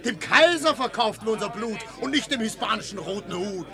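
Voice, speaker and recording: An actor playing a hotheaded, defiant soldier in a classic verse radio play. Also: male voice, high-pitched, animated, fairly quick, crowd noise. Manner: defiant, combative. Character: hotheaded rebellious young soldier.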